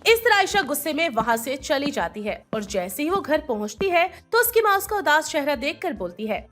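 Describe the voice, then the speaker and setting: Woman, high pitch, very varied melody, scripted storytelling narration, animated moral tale. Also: moderate pace, faint music behind.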